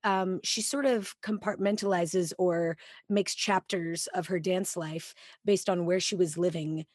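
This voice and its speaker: A woman's voice: fairly low pitch, steady tone, a little slow, darkish tone, no room sound. A woman hosting a podcast, speaking into a studio mic with headphones on, in effusive, chatty casual talk. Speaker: podcast host. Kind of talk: casual interview chat.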